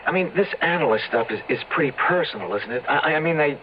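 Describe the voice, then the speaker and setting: Actor playing scheming, insistent man, mystery radio serial; mid-pitched, muffled with faint noise. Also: expressive, fast, lightly acted. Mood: coaxing. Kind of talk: old-time radio mystery acting. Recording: dull old radio recording, faint noise.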